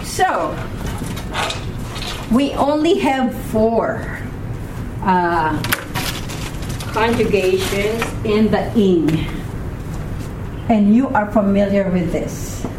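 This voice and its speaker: A woman's voice: medium pitch, expressive, extremely slow, pauses often. A woman with a Filipino accent teaching a grammar class, patient and repeating key words, often checking in with "okay?". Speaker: Hebrew teacher. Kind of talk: classroom lecture. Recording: noisy room recording.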